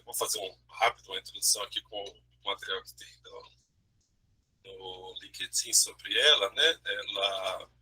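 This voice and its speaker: Man from Brazil, webinar host, very raspy, sharp bright tone, halting intro, keeps adding tag questions. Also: on the low side, rough recording. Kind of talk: introducing a speaker. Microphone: video call.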